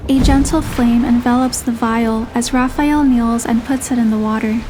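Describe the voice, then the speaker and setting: A woman's voice: on the high side, steady tone, normal speed, dark tone, a little hiss or hum. A woman reading scripted, dramatic story narration. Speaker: narrator and voiced player character of a game story. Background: music behind the voice.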